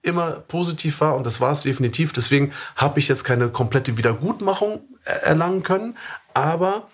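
A man from Germany co-hosting a casual podcast, talking in a relaxed, reflective way with many fillers and quick agreements. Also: a little high. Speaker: podcast co-host.

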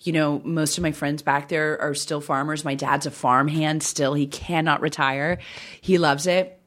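A woman from rural Iowa chatting casually as a guest, talking with lots of fillers and quick laughs. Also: sits low, steady tone. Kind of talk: casual chat.

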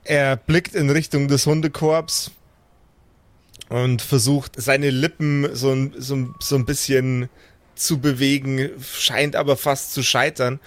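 A man acting as game master, narrating an improvised story in a measured, descriptive way, searching for words now and then. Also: mid-range voice, little pitch movement, no room sound.

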